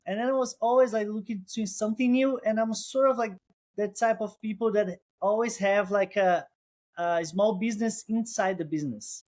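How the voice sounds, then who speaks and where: A man's voice: sits high, fairly even intonation, normal speed, a little mellow. Brazilian-accented man, thoughtful guest. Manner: thoughtful, restarts phrases, non-native phrasing. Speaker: guest, co-founder. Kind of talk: casual interview.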